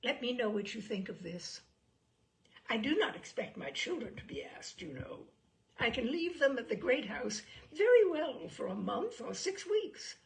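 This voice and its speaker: A woman reading a classic novel aloud, voicing a self-pitying, gossipy young married gentlewoman with an animated, complaining manner. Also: on the high side, normal speed, gravelly, rough recording. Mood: peevish, complaining.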